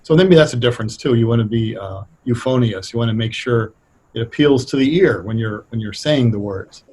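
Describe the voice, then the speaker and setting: American man, middle-aged or older, medium pitch, hesitant and thoughtful, conversational interview guest. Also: darkish tone, slightly rough. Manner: hesitant, thoughtful, halting delivery. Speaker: interview guest, radio playwright. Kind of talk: conversational explanation.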